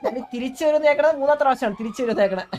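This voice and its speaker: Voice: sits high, very fast. A gamer improvising in-game roleplay banter, insistent and often repeating phrases. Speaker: gamer playing a gang roleplay character. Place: online game voice chat. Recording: noisy game audio with sirens.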